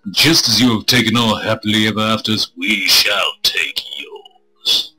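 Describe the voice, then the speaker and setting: A man streaming a visual novel, reading it aloud with crude, irreverent joking asides, in a husky voice. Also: fairly low pitch, a little slow, bright, forceful tone, low-quality recording. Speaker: game streamer. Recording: music playing behind the voice.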